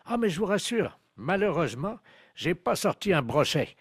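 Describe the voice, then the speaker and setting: A man's voice: high voice, very animated, moderate pace, slightly rough, dry recording, clean studio sound, pitched above the performer's own voice, heavily acted. A man voicing a naive, unrepentant angler in a prank call, innocent, puzzled and matter-of-fact. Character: naive, unrepentant male angler, blithely missing the point. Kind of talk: improvised prank phone call.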